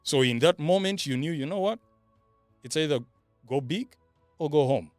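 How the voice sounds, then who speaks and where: A man's voice: a little high, animated, moderate pace, dry recording, soft background music. A man giving casual motivational talk on a podcast panel, emphatic and repeating phrases for effect.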